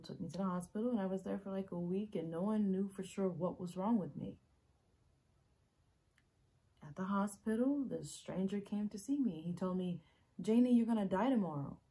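A woman performing a dramatic monologue as an earnest, ageless young woman making an intimate, halting confession to her lover. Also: lowish voice, mellow, lightly acted, poor audio. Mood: pleading, insistent.

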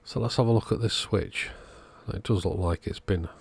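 Low, husky man. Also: moderate pace, no room sound. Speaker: host of electronics repair channel. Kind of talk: casual repair narration.

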